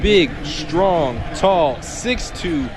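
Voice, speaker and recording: A man's voice: fairly high pitch, animated, drawn-out words. An American man giving live sports analysis as a color commentator on a radio broadcast. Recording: stadium broadcast, clear crowd noise behind. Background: crowd.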